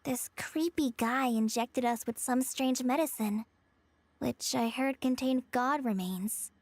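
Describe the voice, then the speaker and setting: Earnest young girl, very high voice. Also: takes it slowly, no room sound, exaggerated voice. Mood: earnest, sad. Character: gentle, earnest young girl with a painful past. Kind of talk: anime-style video game voice acting.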